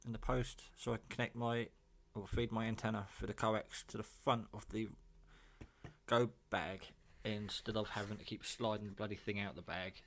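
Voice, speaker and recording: A British man with a fairly low voice presents a homemade project from his kitchen. He speaks in an informal, rambling, unscripted way, with the odd mild swear word, like a hobbyist talking to his viewers. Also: level tone, normal speed, creaky. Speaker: hobbyist vlogger presenting own radio build. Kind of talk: casual unscripted demonstration talk. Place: home kitchen.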